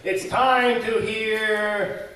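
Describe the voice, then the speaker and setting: A man's voice: high-pitched, fairly even intonation, bright, forceful tone. A man hosting a live old-time radio show in a showy, jokey manner. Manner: showy, jokey, drawn-out greetings and repeats. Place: community center hall, live audience. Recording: echoey hall, distant mic, audience noise.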